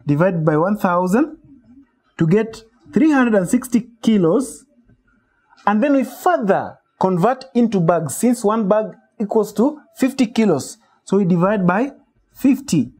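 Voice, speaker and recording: A male instructor gives a step-by-step lesson. His voice is mellow and a little husky, and the pitch moves up and down a lot. He poses questions and then answers them himself. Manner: didactic, asks and answers own questions. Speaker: poultry farming instructor. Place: small shed with metal walls.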